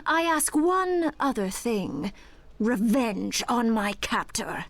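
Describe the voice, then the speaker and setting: A woman playing a cold, vengeful, menacing witch in a video game, speaking in a commanding, deliberate way. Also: on the high side, expressive, dry recording. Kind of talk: scripted video game acting.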